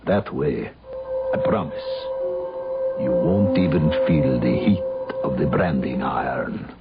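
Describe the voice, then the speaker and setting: An actor playing a sinister, solemn cult initiator coaxing a victim in a vintage radio horror play. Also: male voice, very deep voice, expressive, slow pace, full character voice. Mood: ominous coaxing. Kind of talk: 1970s radio horror acting. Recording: muffled old radio broadcast with loud music.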